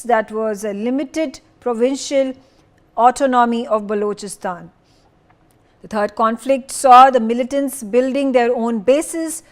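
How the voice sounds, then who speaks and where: Female news anchor, higher-pitched, semi-improvised explainer delivery, deliberate and emphatic. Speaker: news presenter. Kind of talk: news explainer presentation with some ad-libbing.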